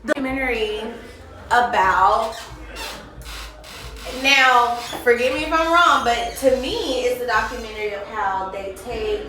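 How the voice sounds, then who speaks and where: An American woman hosting a casual interview, speaking in an informal, expressive, conversational way. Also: a little high, unhurried, slight background noise. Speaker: interviewer, host. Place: echoey room at a party. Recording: echoey room, distant mic, crowd chatter.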